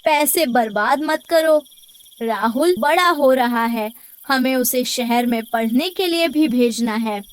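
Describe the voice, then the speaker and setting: High-pitched, grumbling farmer's wife, acted by a woman. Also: slow pace. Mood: scolding. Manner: complaining, nagging. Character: overworked farmer's wife, grumbling, thrifty, hard-hearted. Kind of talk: scripted cartoon story acting. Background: background music.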